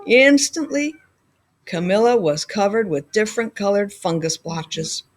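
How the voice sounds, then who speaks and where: A woman reading a children's story aloud like a school librarian, phrasing clearly with dramatic emphasis. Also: medium pitch, expressive, measured pace. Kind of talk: expressive children's storybook narration.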